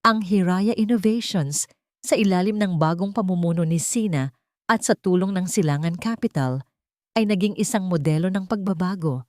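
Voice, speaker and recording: A woman from the Philippines reads a romance audiobook in a gentle, measured, storybook manner. Her voice sits low and is recorded close and dry, with no room sound. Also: no room sound. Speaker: audiobook narrator. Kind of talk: scripted storybook narration.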